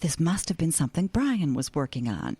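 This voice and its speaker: A woman's voice: low voice, very animated, a little fast, dark tone, husky, no echo, heavily acted. An actress playing an airy, stubborn older hippie grandmother with a wry, theatrical manner in an audio comedy drama. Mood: wry, curious.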